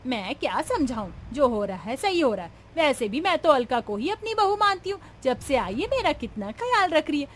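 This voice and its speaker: A woman's voice: sits high, very animated, normal speed, few pauses. A woman playing an elderly, partial, grumbling mother-in-law in a cartoon family drama, with a melodramatic, exclaiming manner. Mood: defiant, partial.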